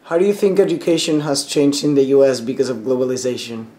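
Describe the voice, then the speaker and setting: Young man, interviewer, mid-pitched voice, steady questions. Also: fast talker. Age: young adult.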